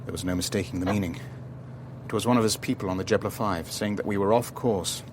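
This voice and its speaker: A man acting as a cool, authoritative ship owner in a radio thriller. His voice is on the low side and measured, both in dialogue and in first-person narration, with a slight rumble of noise behind it. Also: lightly acted. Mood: tense narration.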